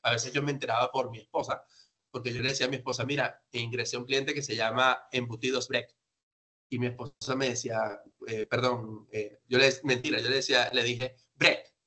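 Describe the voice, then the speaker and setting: Bright-voiced man giving sales training. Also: mid-range voice, level tone, a little fast. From grew up outside Peru, now lives there. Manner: conversational, emphatic, asks and answers his own questions. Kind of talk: informal instructive presentation to entrepreneurs.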